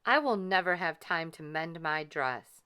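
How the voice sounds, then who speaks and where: Woman playing young storybook heroine, sweet, gentle, earnest, bright, animated pitch. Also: measured pace, clean tone, heavily acted. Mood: downcast. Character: sweet, hopeful young fairy-tale heroine.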